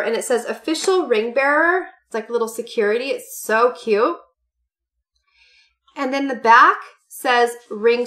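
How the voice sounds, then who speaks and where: A woman's voice: a little high, bright, forceful tone. A woman in her late thirties chatting casually to camera about party supplies she bought, gushing and full of fillers, sitting at a desk at home. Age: 30s. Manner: bubbly, gushing, many fillers and "you guys". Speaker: haul vlogger. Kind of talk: casual chatty product haul. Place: home room with party decor, indoors.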